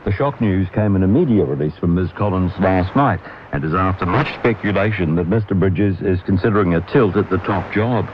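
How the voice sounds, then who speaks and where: A man reading a formal radio news bulletin in a measured way, heard through noisy shortwave reception. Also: sits low, highs cut off. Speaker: newsreader.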